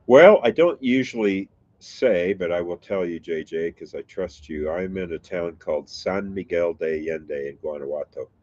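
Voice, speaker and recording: A man's voice: deep voice, a little mellow, dull audio. A man from the United States hosting a casual chat with viewers, sounding a little nasal from allergies and rambling with frequent restarts. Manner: rambling, halting, self-correcting. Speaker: YouTube reaction channel host. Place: home in a Mexican town.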